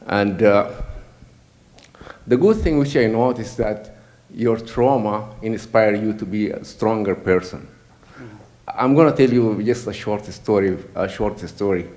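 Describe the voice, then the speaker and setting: Man from sudan speaking up at a meeting, lowish voice, earnest, keeps restarting. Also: a little slow, darkish tone. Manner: earnest, repeats and restarts words. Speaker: audience member at an assembly. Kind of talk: public comment at a meeting.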